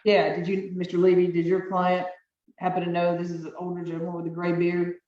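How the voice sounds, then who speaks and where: A deep-voiced woman judge runs her calendar firmly and briskly, repeating instructions to each party. Her voice sounds distant and roomy, with dull, muffled video-call audio. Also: completely flat delivery. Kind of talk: presiding over virtual court hearings.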